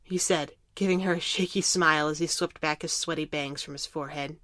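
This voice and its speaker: A woman's voice: low voice, fairly even intonation, brisk, fairly bright tone, no room sound, studio quality. A woman reading scripted story narration for an audio drama.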